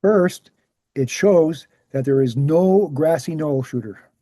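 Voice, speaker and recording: A man playing an earnest researcher in a screenplay table read, explaining things in a measured, assured way. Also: fairly high pitch, warm tone, no echo, studio quality. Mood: assertive. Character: Gary, earnest male presenter, calm debunking researcher.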